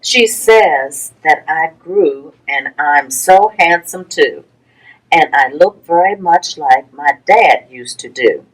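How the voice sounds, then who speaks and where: A woman reading a rhyming children's picture book aloud as a story-time reader, with deliberate, rhythmic phrasing for young listeners. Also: low-pitched, lively intonation, rough recording.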